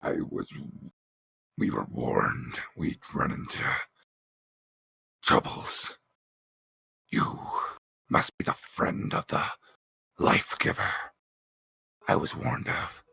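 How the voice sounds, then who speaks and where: A man voicing a menacing doglike villain leader with a raspy growl and grandiose manner in a tabletop game. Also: very deep voice, very animated, pushed and bright, pitched below the performer's own voice, exaggerated voice. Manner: slow, grandiose.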